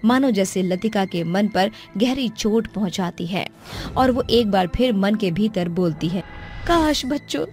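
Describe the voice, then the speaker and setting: A woman's voice: mid-range voice, measured pace, a little mellow. A woman narrating a dramatic scripted story. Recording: music under the voice.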